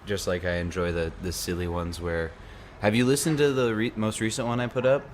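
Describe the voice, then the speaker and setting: Young male podcast host, very low voice, hoarse, loose rambling talk. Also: flat delivery, a little fast, slight background noise. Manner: rambling, full of restarts and "like". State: losing his voice. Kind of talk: casual chat. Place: apartment beside a busy night market.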